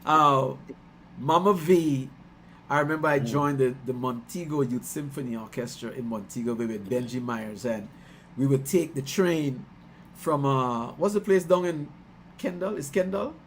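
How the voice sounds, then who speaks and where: A man from Guyana with a Guyanese accent, chatting casually on a livestream about his album, halting and repeating himself with many fillers. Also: medium pitch, a bit bright, a little hiss or hum, rough recording. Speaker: musician celebrating album release. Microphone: video call.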